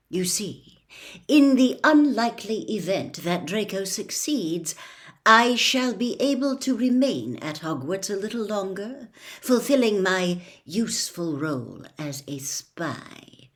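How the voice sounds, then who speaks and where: A woman voicing a cold, controlled male wizard and spy, speaking quietly and with measured care in an audiobook. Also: mid-range voice, very animated. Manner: quiet, slow, coldly measured. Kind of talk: audiobook character voice.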